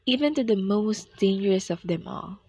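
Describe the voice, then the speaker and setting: A woman's voice: medium pitch, animated, normal speed, dark tone, slightly rough, dry recording. A young woman reading scripted storytelling narration for a radio drama. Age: young adult.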